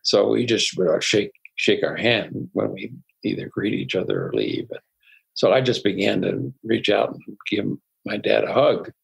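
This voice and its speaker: An older man, a psychologist guest, telling personal stories in a reflective, unhurried way with soft laughs. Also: lowish voice, gravelly, husky, rough recording. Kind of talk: conversational interview storytelling.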